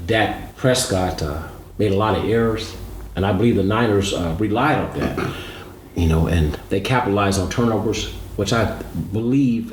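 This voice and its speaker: A man co-hosting a sports talk show, laying out his analysis in a measured, assertive way. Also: on the low side. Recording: roomy echo, background noise.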